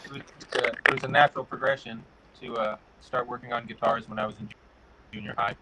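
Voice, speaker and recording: Middle-aged guest from Connecticut, bright forceful tone, relaxed halting answers, faint hiss. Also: male voice, medium pitch, low-quality recording. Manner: relaxed, pauses with many "uh" fillers. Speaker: guest, guitar builder. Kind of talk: casual interview answers.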